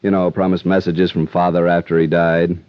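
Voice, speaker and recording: An American actor playing a mild-mannered, likable insurance broker, courteous and earnest, in a vintage radio drama. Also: male voice, sits low, little pitch movement, brisk, no room sound, lightly acted. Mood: courteous, concerned. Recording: muffled, dry old radio recording.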